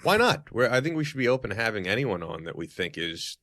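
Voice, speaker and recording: A man in his thirties with a low baritone voice, co-hosting a casual podcast in an easygoing, earnest way. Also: very animated, fast talker, fairly bright tone. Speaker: podcast co-host and producer.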